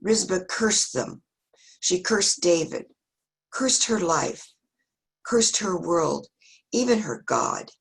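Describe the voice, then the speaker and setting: A woman's voice: fairly low pitch, lively intonation, slow, creaky, long pauses, slightly rough. A woman reading a scripted, dramatic narration of a Bible story as a church reader.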